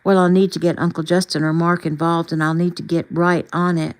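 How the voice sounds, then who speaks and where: An earnest, devout woman doctor in a storybook, played by a female reader. She speaks in a slightly low voice and is effusive and encouraging with whoever she addresses. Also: little pitch movement, brisk, natural voice. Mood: businesslike.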